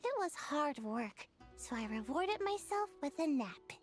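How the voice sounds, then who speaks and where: A woman's voice: high-pitched, very animated, a little slow. A woman voicing a sleepy, lazy little ninja girl in a video game, drowsy and a bit defensive, with game music behind.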